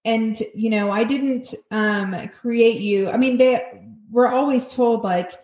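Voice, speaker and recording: A woman's voice: fairly high pitch, takes it slowly, a little mellow, roomy sound, a little hiss or hum, low-quality recording. A woman hosting a podcast interview in a casual, chatty way, with lots of fillers and laughter. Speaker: podcast host.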